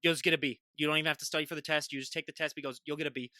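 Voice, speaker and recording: A man's voice: on the high side, steady tone, racing, sharp tone, no room sound, studio quality. A young man from the Midwest hosting a podcast, chatting casually in a sarcastic, joking way. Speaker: podcast host. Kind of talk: casual chat.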